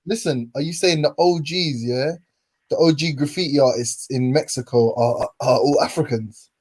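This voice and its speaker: A man's voice: a little high, normal speed, darkish tone. A young man, hosting a casual chat and teasing his guest with laughing, slangy banter. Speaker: host.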